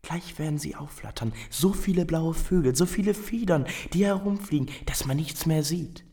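An actress playing a wide-eyed, stubborn young seeker in a radio play, emotional and easily awed or grieved, sometimes dropping to a whisper. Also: female voice, low voice, moderate pace, mellow. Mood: awestruck. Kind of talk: German radio play acting.

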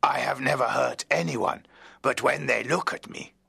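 Gravelly, anguished monster voiced by a man. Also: medium pitch, sharp tone, no room sound, full character voice. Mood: pleading, sorrowful. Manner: intense, deliberate, theatrical. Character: lonely, anguished outcast monster telling his own story. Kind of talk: dramatic audiobook narration.

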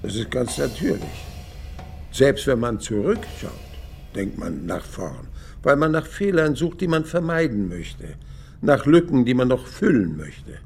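An actor playing a reflective, disillusioned elderly radio dramatist in a monologue. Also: male voice, medium pitch, creaky. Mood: reflective, resigned. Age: older.

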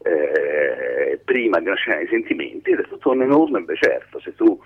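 A man with a very low, rough-edged voice speaks informally as an interviewed teacher. He circles around his points, stopping and restarting. It sounds like a radio call over the telephone. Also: big pitch swings, narrow, tinny audio. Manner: halting, rambling, self-interrupting explanations. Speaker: screenwriting teacher being interviewed.

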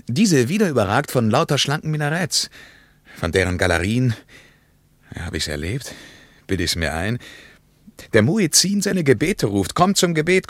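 An actor playing a middle-aged former war courier in a radio drama, vividly recalling exotic memories and pausing to search them. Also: male voice, deep voice, dry recording.

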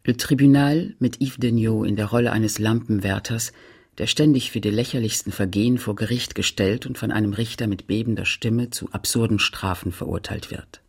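An actor playing a grave narrator who echoes a memory in sparse, deliberate phrases for a literary radio play. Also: male voice, lowish voice, steady tone, vocal fry, breathy edge. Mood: sober. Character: grave male narrator echoing another's memory in fragments.

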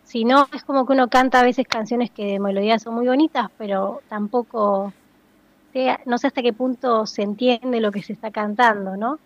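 A woman's voice: on the high side, fairly even intonation, moderate pace, a little hiss or hum. A woman singer-songwriter, speaking in a casual interview and searching thoughtfully for her words. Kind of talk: casual interview over a phone line. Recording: dull, dry phone-line sound.

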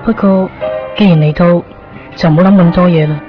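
A woman speaks in a soft, wistful inner monologue, her words laid over a clear music bed. The broadcast sound is slightly dull. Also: fairly low pitch, steady tone, fairly quick. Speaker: narrator and lead character. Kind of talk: 1990s radio drama narration.

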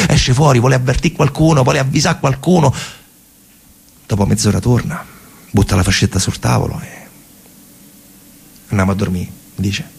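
A man narrating a radio theatre monologue dramatically, in colloquial, short phrases with repetitions and a husky voice. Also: mid-range voice. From Italy. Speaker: storyteller actor.

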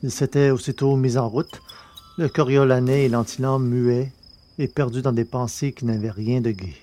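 A man reading a novel aloud in measured, deliberate narration. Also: mid-range voice, fairly even intonation, warm tone, slight background noise. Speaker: audiobook reader. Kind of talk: scripted narration of a science-fiction novel.

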